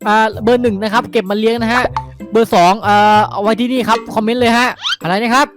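A man's voice: very high voice, steady tone, quick pace. A man narrating a game role-play vlog, chatty and repetitive, speaking straight to his viewers. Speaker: video creator narrating game skits. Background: background music.

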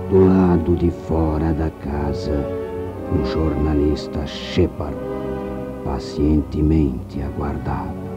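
An actor playing a solemn horror-tale narrator, reading scripted narration for a radio play. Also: male voice, extremely low pitch. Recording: dull radio recording, loud music.

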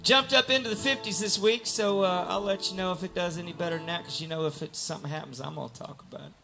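A high-pitched middle-aged man rambles through long, wordy stories between songs. He sings and talks into a stand mic on an outdoor patio stage, loud acoustic guitar ringing under him. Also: fast, vocal fry. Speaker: singer-songwriter. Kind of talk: live stage banter and singing. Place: outdoor beer garden stage.

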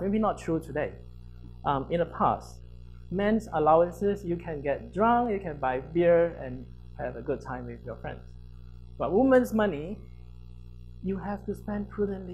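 Soft-voiced man giving a halting conference talk. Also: on the high side, low-quality recording. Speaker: academic researcher presenting a paper. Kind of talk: conference presentation. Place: university lecture hall.

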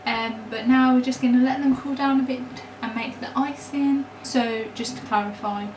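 A young adult woman giving a casual, chatty baking demonstration in an informal, self-deprecating way, recorded indoors on a camera mic. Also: fairly high pitch. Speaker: home baking vlogger. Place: small home kitchen, indoors. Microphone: camera mic a metre or two away. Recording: roomy, distant mic, noisy background.

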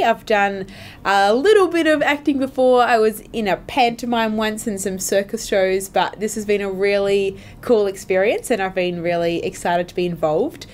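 A woman talking casually in an interview as an amateur radio actor. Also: mid-range voice.

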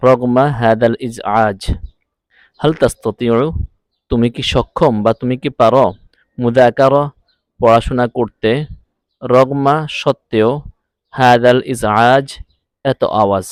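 A man's voice: medium pitch, darkish tone, clear, resonant tone, no room sound. Dry-sounding male language teacher. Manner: didactic, repeats phrases deliberately. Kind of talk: scripted lesson, phrase-by-phrase translation. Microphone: close, dry voice-over recording.

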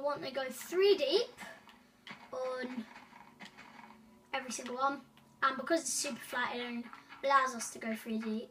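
A woman's voice: high voice, a bit bright, distant mic, slight background noise. A woman hosting a casual building walkthrough, rambling with many ums and self-corrections. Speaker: gaming tutorial host.

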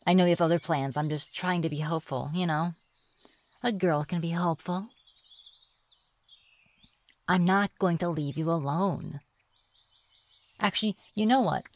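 A sly, reclusive witch of the woods, acted by a woman for an ASMR fantasy roleplay. Her voice sits a little low and she takes her time over her words. She is playful and coy, teasing as she talks. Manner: teasing, musing aloud, coy. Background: birdsong and forest ambience.

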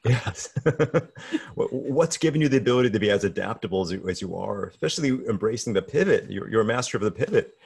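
A man's voice: lowish voice, animated, fast, creaky, a little mellow, husky. A man in his forties hosting an interview over a video call from a room at home, eager and often restarting his words. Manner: enthusiastic, repeats and restarts words. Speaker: podcast host. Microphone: video call with desk mic.

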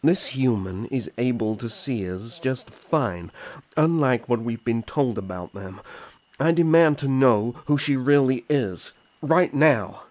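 A man's voice: mid-range voice, animated, slow pace, a little mellow. A man playing a proud, suspicious elf prince in a fantasy audio drama, haughty, defensive and demanding.

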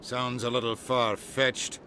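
An actor playing a gruff, skeptical official in a video game, dry and clipped. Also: male voice, mid-range voice, flat delivery, slow pace, bright, forceful tone, slight background noise.

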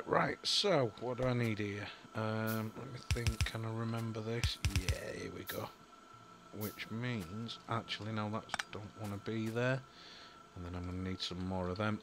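A man's voice: fairly low pitch, noisy background. A young man with a Yorkshire accent, rambling and thinking aloud as he commentates on a building game, full of ums and apologies. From Yorkshire, England. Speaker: gaming YouTuber. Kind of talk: casual gameplay commentary.

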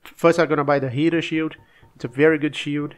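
A man with a cold casually commenting on his own gameplay, relaxed and halting, coughing now and then. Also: on the high side, soft background music. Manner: relaxed, halting, frequent restarts and fillers. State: sick, coughing.

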